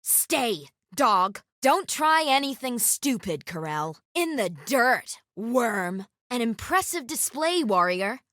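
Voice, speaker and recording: A woman playing a stern, vengeful warrior guardian of a secret order in a video game, speaking in formal, measured, grave phrases. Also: fairly high pitch, sing-song, a bit bright, dry recording, clean studio sound, heavily acted. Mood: commanding, scornful. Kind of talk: scripted game character voice lines.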